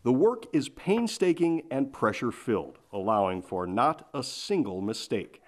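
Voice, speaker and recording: A male TV reporter reading scripted news narration in a measured, formal way. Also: medium pitch, sing-song, noisy background.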